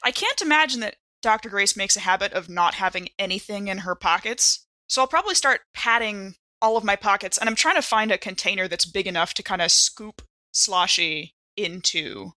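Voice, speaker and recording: A woman with a bright, forward voice plays a tabletop role-playing game. She talks casually, thinking aloud through her moves, and the sound is close and dry. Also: mid-range voice, animated, a little fast, hardly any pauses, no echo.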